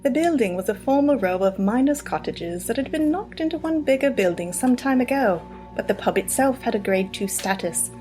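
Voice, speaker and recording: A woman puts on a prim English lady reading a story aloud. The accent is light and English, the delivery measured and proper. There is music behind the voice. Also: fairly high pitch, lively intonation, dark tone, voice pushed up, lightly acted.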